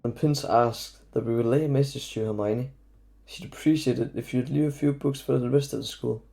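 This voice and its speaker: A man acts as a wry teenage schoolboy wizard in an audio play. The voice is mellow and creaky, at a middling pitch, with a dry, teasing delivery. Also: steady tone, brisk, natural voice.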